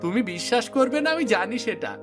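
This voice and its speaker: A man's voice: very high-pitched, animated, fairly quick. A man voicing an eager, earnest young village fisherman in a cartoon, insisting on what he says. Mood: excited, insistent. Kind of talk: cartoon dialogue acting. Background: music.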